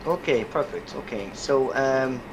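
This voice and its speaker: A man with an Irish accent giving an informal, reflective academic presentation in measured phrases over an online call. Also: medium pitch, expressive. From Ireland. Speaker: university academic guest speaker. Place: video call. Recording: noisy online call audio.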